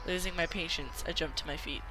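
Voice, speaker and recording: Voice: mid-range voice, fairly quick, music in the background, low-quality recording. An amateur video game player reading a visual novel aloud dramatically, sometimes stumbling and repeating words.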